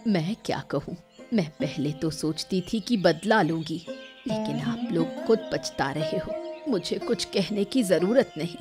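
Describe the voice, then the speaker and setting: A woman voicing a determined, wise village mother in a cartoon, calm and measured. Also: lowish voice, music in the background. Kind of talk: Hindi cartoon dubbing.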